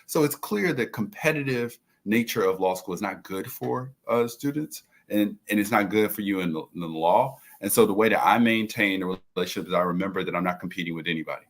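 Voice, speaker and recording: A man working as a prosecutor, speaking earnestly and in a measured way on a panel. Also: lowish voice. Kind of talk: panel discussion.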